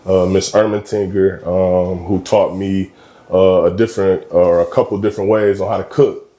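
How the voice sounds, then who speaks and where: A man in his thirties from Michigan, a basketball coach talking as a guest in a casual interview, relaxed and slangy with frequent ums. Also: low-pitched, normal speed, roomy sound. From Michigan, USA. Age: 30s. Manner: laid-back, slangy, lots of ums.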